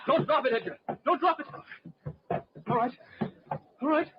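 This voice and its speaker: A frantic, obsessed character with a high voice. He pleads over and over in old-time radio drama style. The recording is dull and clearly noisy. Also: normal speed. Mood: feverish urgency. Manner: pleading, breathlessly repeating himself. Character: desperate, obsessed man pleading frantically with his friend.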